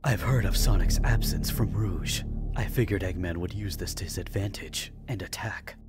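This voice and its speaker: A woman's voice: extremely low pitch, moderate pace, loud music behind the voice. A woman voicing a cool, brooding male hedgehog antihero, terse and stern, in a cartoon comic dub. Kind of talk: fan comic dub acting.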